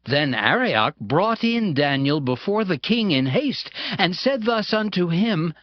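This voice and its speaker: Male narrator, formal scripture storytelling, slow, animated pitch, muffled recording over music. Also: no room sound. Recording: dull band-limited audio under prominent music.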